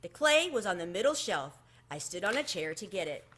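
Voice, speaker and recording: A woman reading a children's book aloud expressively, in an animated storytelling manner. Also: medium pitch, brisk, slightly rough. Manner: animated, acts out the dialogue.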